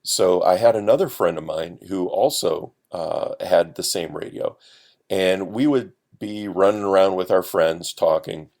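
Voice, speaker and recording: A man from the United States talking as a podcast guest, telling stories casually in a halting, explanatory way. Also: sits low, darkish tone. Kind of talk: casual conversational storytelling.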